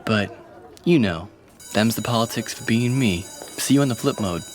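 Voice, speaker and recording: A man's voice: on the low side, lively intonation, moderate pace, faint music behind, lightly acted. A man playing a confident, breezy, slangy teenage buddy in a radio drama. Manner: slangy, casual, cajoling.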